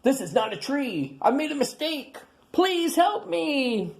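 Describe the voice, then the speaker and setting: A woman's voice: high-pitched, big pitch swings, slow, pitched above the performer's own voice, exaggerated voice. Woman reading as an earnest little dinosaur. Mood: worried. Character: tiny earnest young male dinosaur.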